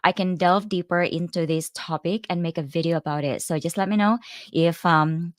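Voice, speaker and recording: This is a woman with a Filipino accent who is hosting a casual livestream. She talks straight to her viewers and stops now and then to greet the live chat. Her voice sits on the low side and sounds smooth, and the recording is dry and close. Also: fairly even intonation, moderate pace, no echo. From Philippines. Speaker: host of a livestream.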